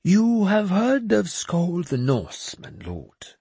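A high, slow mad bishop. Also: male voice, wildly swooping pitch, creaky, warm tone, breathy edge, no echo, exaggerated voice. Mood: scornful. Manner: high, slow, sing-song preaching delivery. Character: mad, sly, lofty self-proclaimed male bishop.

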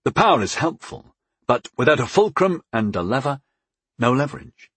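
A man's voice: mid-range voice, big pitch swings, normal speed, a bit bright, slightly rough, no echo, voice pushed up. A man playing a sarcastic, daring wizard in a fantasy audiobook, wry and musing with eager recklessness. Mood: thoughtful.

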